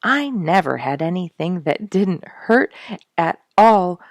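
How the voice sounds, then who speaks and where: An excitable young soldier from a storybook, played by a woman narrator, exclaims dramatically. The voice is pushed up and loud, with a close, dry sound. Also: on the low side, slow, dry recording, voice pushed up, full character voice. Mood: rueful, amused.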